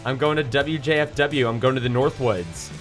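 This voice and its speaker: A young man talking loudly and energetically as a student sports reporter on a casual podcast. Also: medium pitch, moderate pace, soft background music. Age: young adult. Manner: loud, energetic talker.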